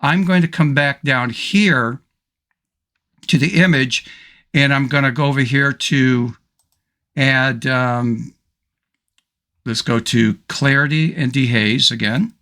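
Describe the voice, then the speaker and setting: A middle-aged man, slightly hoarse, talks through a photo edit in a relaxed way, full of little ums and restarts. His voice sounds bright and forward. He records at a desk mic in a home studio. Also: mid-range voice, slow pace, pauses often. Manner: relaxed, thinking aloud, frequent ums and restarts. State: slightly hoarse, clearing throat. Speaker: photo editing tutor. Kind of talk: screen-recorded software tutorial.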